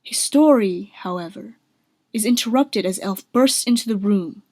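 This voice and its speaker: A woman's voice: medium pitch, normal speed, dark tone. A woman giving scripted storytelling narration for a radio drama.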